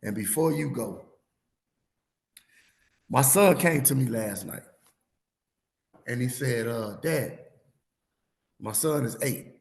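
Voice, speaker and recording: A man in his thirties or forties hosting a sports podcast, losing his voice, rambling and animated as he tells stories in casual chat. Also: mid-range voice, takes it slowly, vocal fry, pauses often, roomy sound. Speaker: podcast host.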